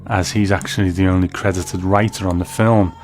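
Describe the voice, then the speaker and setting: Low-pitched man hosting a commentary. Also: fairly even intonation, brisk, darkish tone, faint music behind. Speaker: host. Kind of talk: scripted narration, commentary on classic TV and radio.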